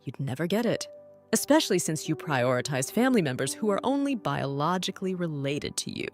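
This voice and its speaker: A woman's voice: sits low, a little fast, faint music behind. A woman voicing an outspoken young aunt who works as a bar hostess in an anime-style dub. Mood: scornful. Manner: sharp-tongued, lively.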